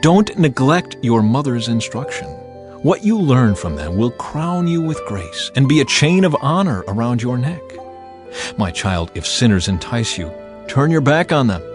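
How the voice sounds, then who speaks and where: A man giving a dramatized scripture reading in a solemn, measured, deliberate manner. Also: medium pitch, big pitch swings. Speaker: Bible narrator. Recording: music behind the voice. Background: music.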